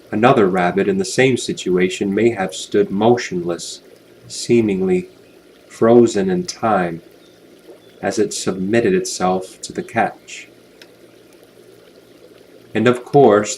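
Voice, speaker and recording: Slow, soothing male hypnosis narrator. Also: fairly low pitch, slight background noise, poor audio. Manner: soothing, unhurried, gentle delivery with long pauses. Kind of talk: guided hypnosis relaxation narration.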